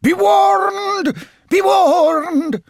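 High, wailing, menacing storybook ghost. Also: male voice, no room sound, studio quality, full character voice. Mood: warning. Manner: drawn-out, wailing warnings. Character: fake ghost of a late uncle, slow, ominous, menacing. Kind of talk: storybook character voice.

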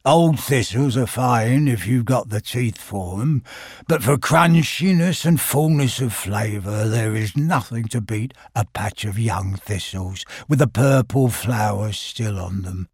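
A man voicing a gloomy old grey donkey in a storybook reading, husky, mournful and deadpan. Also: mid-range voice, unhurried, no room sound, studio quality.

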